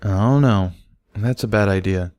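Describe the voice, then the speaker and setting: A man acts out an adult stallion tutor in an audiobook. His voice is fairly low and closely recorded. He is gentle and caring but carries quiet authority. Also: a little slow, dry recording, clean studio sound, lightly acted. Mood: concerned.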